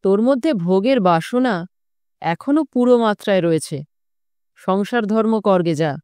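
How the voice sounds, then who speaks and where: A woman voicing a soft-spoken, teasing middle-aged male ascetic in an audiobook. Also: mid-range voice, animated, unhurried, smooth, no room sound, studio quality, close to an everyday voice. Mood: teasing, admonishing. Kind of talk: audiobook character dialogue.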